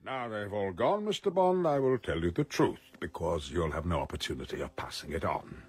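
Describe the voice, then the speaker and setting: An actor in a radio drama playing a pompous, coldly ruthless criminal mastermind who talks formally and deliberately with polite menace. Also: male voice, deep voice, expressive, darkish tone, breathy edge, faint music behind. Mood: cold, gloating.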